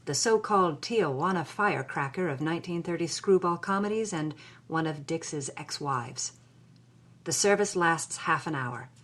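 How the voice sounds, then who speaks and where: A wry, anguished Hollywood woman of the 1940s, voiced by an actress, tells her story in the first person. Her voice sits a little low, and she delivers the journal with theatrical, expressive storytelling.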